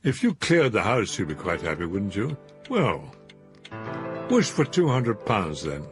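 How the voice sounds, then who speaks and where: A deep-voiced man reading aloud and voicing a joking young son in a spooky story, in a lighter, playful tone. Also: wildly swooping pitch, measured pace, music in the background. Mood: teasing. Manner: teasing, playful.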